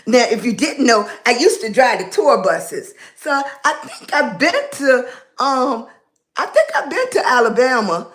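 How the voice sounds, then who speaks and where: A Southern woman from Virginia chats through a phone live stream in a high, unhurried voice. She welcomes viewers one by one, drawls her words and calls everyone baby. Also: big pitch swings, a bit bright. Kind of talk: casual live-stream chat greeting viewers.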